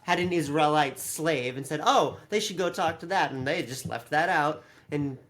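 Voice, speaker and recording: A podcast co-host chatting casually through a review, animated and sweary, sometimes slipping into mock voices to quote people. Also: medium pitch, normal speed.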